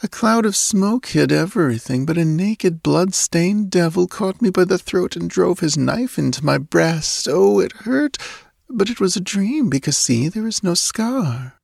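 A man voicing a languid, dreamy, confused young woman in a soft, drowsy murmur for an audiobook story. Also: sits high, animated, creaky, no echo, studio quality, pitched above the performer's own voice, exaggerated voice. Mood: sleepy, dreamy. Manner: drowsy, languid, drawn-out yawning delivery. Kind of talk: audiobook character acting.

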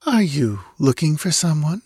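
A man voicing a languid, dreamy, confused young woman in a soft, drowsy murmur for an audiobook story. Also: high voice, very animated, vocal fry, no echo, studio quality, voice pushed up, full character voice. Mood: drowsy, puzzled. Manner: drowsy, languid, drawn-out yawning delivery. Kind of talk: audiobook character acting.

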